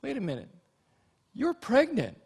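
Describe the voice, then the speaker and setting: A man's voice: very high voice, wildly swooping pitch, measured pace, gravelly, darkish tone, slightly rough, in a large hall, voice pushed up, full character voice. A man voicing gossiping cows in a joke, with exaggerated questioning surprise. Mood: incredulous, nosy. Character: two gossiping cows, one incredulous, in a joke.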